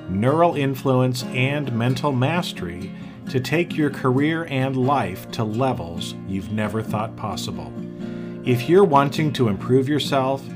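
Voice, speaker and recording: A man hosting a podcast interview, upbeat and chatty, laughing easily and often repeating words. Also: mid-range voice. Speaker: podcast host.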